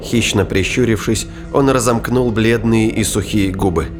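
A man with a fairly low voice delivers dramatic audiobook narration of a suspense story. Music plays clearly behind his scripted reading. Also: normal speed. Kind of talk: dramatic scripted narration of science fiction thriller.

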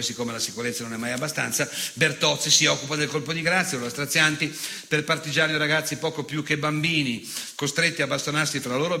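An actor playing a cruel, bitter, nostalgic old fascist in a theatrical monologue, speaking with sardonic, obsessive emphasis. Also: male voice, fairly high pitch, pushed and bright. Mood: cold gloating. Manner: emphatic, obsessive, sardonic recitation with repeated words.

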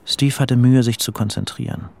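A man's voice: medium pitch, steady tone, normal speed, gravelly, a little mellow. A man narrating a literary audio drama from a script.

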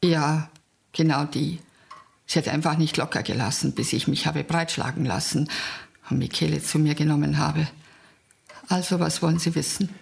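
A weary aunt being questioned in a crime drama. Her voice is heavily creaky, and she talks bluntly, with dry indifference. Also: deep voice, steady tone, normal speed. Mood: resigned, dry. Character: weary, indifferent, plain-spoken aunt of delinquent boy. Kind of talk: German radio crime drama acting.

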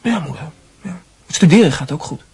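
An actor playing a young male medical student, dutiful and evasive, speaking haltingly in a radio drama. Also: fairly high pitch, expressive, darkish tone, slight background noise. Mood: dutiful, hesitant. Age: young adult. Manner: halting, hesitant delivery.

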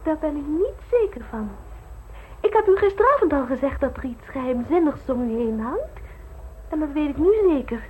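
A woman's voice: high voice, lively intonation, normal speed, phone-quality sound. An actress playing a genteel eighteen-year-old society girl in an old radio play, prim and easily flustered. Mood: unsettled, wondering. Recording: old radio recording with clear noise.

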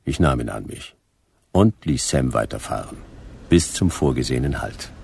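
An actor playing a dry, hardboiled private detective, laconic and deadpan, in a noir radio play. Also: male voice, very deep voice, normal speed, creaky, husky, no echo, heavily acted. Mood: laconic, brisk. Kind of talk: first-person noir radio play narration and dialogue.

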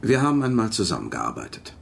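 Low-pitched, guarded spy. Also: male voice, huge pitch swings, fast talker, vocal fry, breathy edge, heavily acted. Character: guarded, impatient male spy hiring a detective. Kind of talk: German radio play dialogue.

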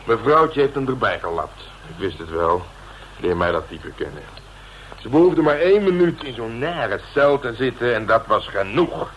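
An actor playing a cocky, hard-boiled police inspector in a radio drama, bossy and colloquial, with a self-satisfied way of talking. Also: male voice, mid-range voice, very animated, normal speed, fairly bright tone, lo-fi sound, a little hiss or hum. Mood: smug, gloating. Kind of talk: Dutch radio drama acting.

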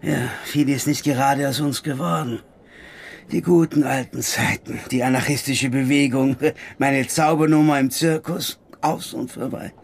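An actor playing a jaded, defiant anarchist stage magician in a German radio crime drama, talking colloquially and chummily with a theatrical streak. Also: male voice, medium pitch, steady tone, normal speed, husky. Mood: chummy, wistful. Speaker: supporting actor.

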